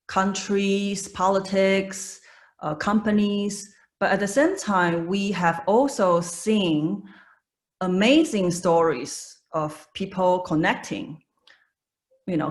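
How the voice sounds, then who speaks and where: Taiwanese woman in her thirties, webinar presenter on a video call, slow delivery full of fillers. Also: fairly low pitch. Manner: hesitant, many fillers and restarts.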